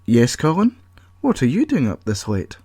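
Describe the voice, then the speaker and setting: A man's voice: mid-range voice, wildly swooping pitch, a little mellow, husky, dry recording, clean studio sound, heavily acted. Man acting polite, robotic female computer. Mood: flat polite. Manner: robotic, measured. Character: polite female lab computer, calm and unhelpful.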